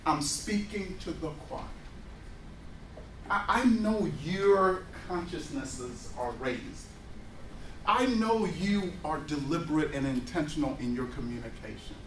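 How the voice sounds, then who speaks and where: A man's voice: on the high side, takes it slowly, a little hiss or hum. A man in his forties presenting a workshop to an audience, telling personal stories and acting out the dialogue in them. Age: 40s. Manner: animated storyteller who acts out dialogue. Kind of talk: workshop talk with personal anecdotes. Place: indoor workshop room with an audience. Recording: roomy room, voice picked up from a distance.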